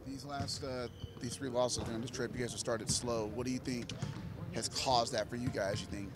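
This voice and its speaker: A male reporter asking a basketball player quick, conversational questions. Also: medium pitch, fairly even intonation, loud music behind the voice. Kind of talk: press question. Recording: crowd chatter behind.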